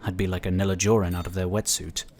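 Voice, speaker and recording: A calm inquisitor of a psionic order for a sci-fi audio drama. He has a fairly low voice and speaks formally and courteously, laying things out with measured care. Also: slight background noise. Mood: explaining.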